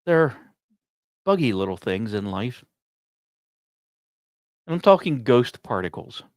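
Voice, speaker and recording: A man hosting a science podcast, reading scripted narration with casual asides and musing, dramatic pauses between short phrases. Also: mid-range voice, very animated, a little mellow, dry recording, clean studio sound. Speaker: podcast host.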